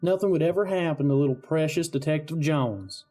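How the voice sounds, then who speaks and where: A man putting on a taunting, high-pitched vengeful killer. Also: fairly quick, mellow, soft background music, natural voice. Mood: bitter, mocking. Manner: gloating, mocking, chuckling delivery. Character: taunting, vengeful male killer avenging his brother. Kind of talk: amateur audio drama acting.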